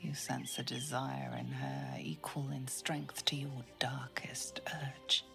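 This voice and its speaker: An ominous female narrator for a dark fantasy game. Her voice is very low and hushed, thick with creak. She tells of nightmares deliberately and dramatically over clear music. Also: very animated, full character voice.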